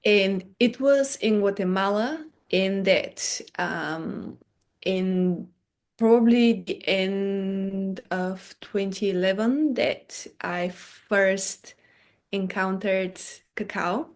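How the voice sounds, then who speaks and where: A woman in her thirties from Bulgaria, a podcast guest telling her story in a relaxed, soft-spoken way that fades quietly at the ends of phrases. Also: medium pitch, extremely slow. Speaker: podcast guest, meditation teacher. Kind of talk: casual conversation, storytelling.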